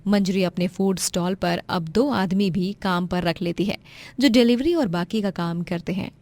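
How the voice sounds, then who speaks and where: A woman's voice: fairly low pitch, moderate pace, darkish tone, no room sound. Dry-sounding woman narrating a story.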